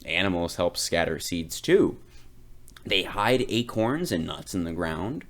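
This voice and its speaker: A man reading a children's picture book aloud, phrasing it deliberately and with expressive emphasis. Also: low voice. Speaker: read-aloud reader.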